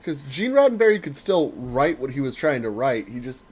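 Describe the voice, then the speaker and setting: A man in middle age talks casually on a podcast, at an ordinary mid-range pitch. He is opinionated and sarcastic, and swears freely as he makes his case. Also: very animated, normal speed, a little mellow, slight background noise, poor audio. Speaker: podcast host. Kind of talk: casual chat.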